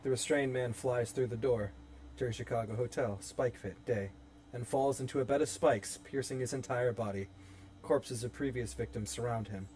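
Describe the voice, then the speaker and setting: A man's voice: mid-range voice, darkish tone, a little hiss or hum. A man reading stage directions as the narrator of a horror script in a live table read.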